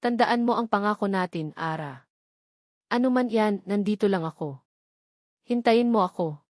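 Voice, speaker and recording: A woman's voice: mid-range voice, normal speed, darkish tone, no echo, clean studio sound, lightly acted. A Filipino woman voicing a devoted, earnest man in love in a romance audio story. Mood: concerned, devoted. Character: devoted, earnest young male architect in love.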